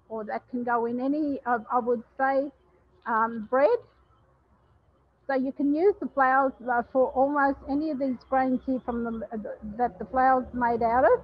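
An older Australian woman, a chef, talking casually about her cooking on a headset mic in a kitchen, with many ums and pauses. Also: high-pitched, muffled recording. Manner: warm, plain-spoken, many ums and pauses. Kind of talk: casual cooking talk to a webinar.

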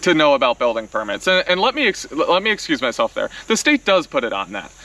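A man's voice: fairly high pitch, big pitch swings, pushed and bright. Exasperated young man explaining outdoors. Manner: exasperated, fast explaining with many "like"s. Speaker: vlog co-host. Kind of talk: casual chat to camera. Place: outdoors in a wooded clearing. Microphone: camera-mounted mic, later handheld selfie camera. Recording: outdoor camera audio from a little distance.

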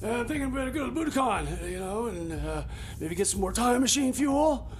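A man playing a stammering, excitable, scruffy time-machine mechanic in improvised radio comedy. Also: extremely high pitch, a little fast. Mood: frantic. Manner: stammering, excitable, repeating himself. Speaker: comedy radio show character.

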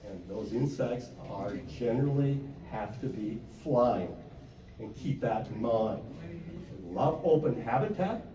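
An older man from Wisconsin giving a convention talk on birds in a loud, plain-spoken way, with many "uh" fillers, into a handheld mic held away from his mouth. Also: mid-range voice, far from the mic. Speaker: conservation speaker. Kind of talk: convention presentation. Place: convention hall. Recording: echoey hall, mic from afar.

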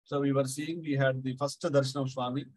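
A middle-aged man with a South Indian accent tells a devotional anecdote casually, halting and repeating phrases. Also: medium pitch, flat delivery, a little mellow, no echo. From Andhra Pradesh, India. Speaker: Carnatic musician, guest speaker.

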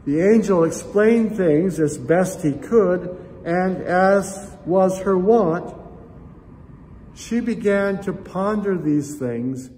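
Older male pastor, high and unhurried. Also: a little mellow. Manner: reflective, addresses listeners as friends, gestures with both hands. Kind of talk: sermon. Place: church sanctuary, indoors. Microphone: camera at chest height, seated facing it. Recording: long church reverb, faint room noise.

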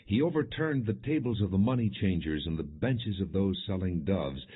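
A man with a low, husky voice narrates scripture from a prepared text. His delivery is solemn and measured, with expressive storytelling. The recording is muffled and thin, like a phone line. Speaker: audiobook narrator.

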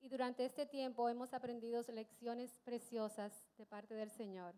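High-voiced woman interpreting a sermon. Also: normal speed. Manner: steady consecutive interpreting, occasional self-corrections. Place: church stage, large hall.

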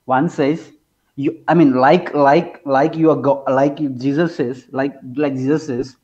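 A twenty-two-year-old man from India with an Indian accent, debating casually, haltingly repeating his phrases for emphasis. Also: mid-range voice, fairly even intonation, darkish tone. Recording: dull lo-fi online call audio.

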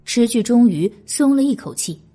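A woman's voice: a little high, animated, normal speed, dark tone, slightly rough, soft background music. A woman narrating a historical novel as an audiobook in a dramatic, scripted style.

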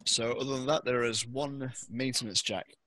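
A man's voice: mid-range voice, fairly even intonation, normal speed, sharp tone, a little hiss or hum, rough recording. A man acting as game master, explaining items and rules to players in an online tabletop game.

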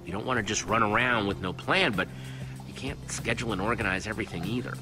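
A man's voice: fairly low pitch, fast talker, close to an everyday voice. A man playing an earnest, reassuring young hero in a video game, talking casually. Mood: diplomatic. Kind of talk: video game voice acting, conversational. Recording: loud music behind the voice.

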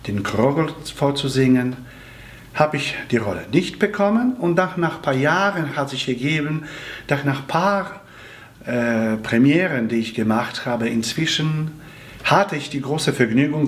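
A man in his forties from Poland, a native Polish speaker with a Polish accent, answering fan questions in a seated video interview in careful, slightly halting phrasing. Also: mid-range voice. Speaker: musical theatre actor answering fan questions. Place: small room with red curtain and patterned wallpaper, indoors.

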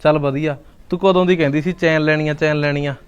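A man's voice: a little high, steady tone, fairly quick, clear, resonant tone, dull audio, close to an everyday voice. A man with a Punjabi accent playing a practical, placating husband in a family drama. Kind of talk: colloquial domestic drama acting.

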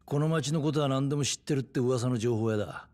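Guy doing a weary middle-aged cop, gruff and blunt, average pitch, game cutscene. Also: fast, a little mellow, faint music behind, close to an everyday voice. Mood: serious, cautioning. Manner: gruff, matter-of-fact. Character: gruff, world-weary middle-aged police detective, wary ally. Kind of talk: Japanese game cutscene acting.

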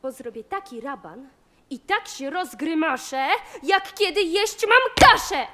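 A woman's voice: high-pitched, lively intonation, unhurried, bright, forceful tone, exaggerated voice. A woman playing a spoiled, wheedling young princess in a rhymed fairy-tale audio play. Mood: petulant.

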